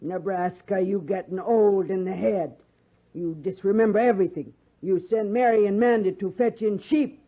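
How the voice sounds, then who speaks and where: An actress playing a stern, no-nonsense frontier wife who scolds and bosses in clipped broken English, in 1950s radio Western style. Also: female voice, mid-range voice, moderate pace, close to an everyday voice. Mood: scolding. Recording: muffled 1950s radio recording.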